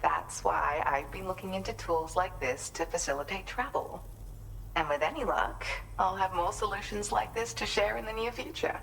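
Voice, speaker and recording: A woman's voice: mid-range voice, moderate pace, gravelly, a bit bright, a little hiss or hum, rough recording. An actress playing a kind, composed woman prospector and inventor in a video game, speaking politely and articulately in an explanatory way. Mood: friendly, explanatory. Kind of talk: scripted video game cutscene dialogue.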